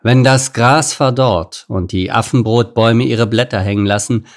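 A solemn lion monarch from a children's tale, voiced by a male reader. He speaks in grave, measured phrases meant to reassure, with a gravelly edge. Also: mid-range voice, lightly acted. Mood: solemn, reassuring. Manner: grave, measured speech-making. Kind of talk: children's storybook character voice.

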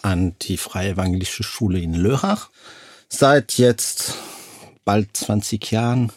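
A middle-aged man from Switzerland, a school social worker, speaking in a deliberate way with slightly broken grammar. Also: lowish voice, a little mellow, dry recording, studio quality. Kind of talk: casual talk show chat.

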